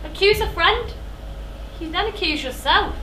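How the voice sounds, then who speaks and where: Woman acting bright, high-voiced admonishing chorus member. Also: animated, measured pace, far from the mic, exaggerated voice. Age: young adult. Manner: formal, admonishing. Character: cautious, counselling tragic chorus member. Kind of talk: theatrical stage tragedy delivery. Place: theatre stage. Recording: echoey theatre from afar, faint noise.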